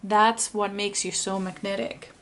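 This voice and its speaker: A woman from Finland with a Finnish accent, doing a pick-a-card tarot reading in English, her second language, in a soft, earnest way, often stopping to rephrase. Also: medium pitch, flat delivery, background noise. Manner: slow, searching, rephrases often.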